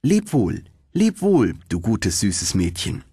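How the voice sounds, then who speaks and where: A man reading a storybook voices a gentle, grateful female swallow, tender and earnest. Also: fairly low pitch, huge pitch swings, takes it slowly, husky, no room sound, studio quality, full character voice. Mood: tender farewell. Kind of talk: storybook narration.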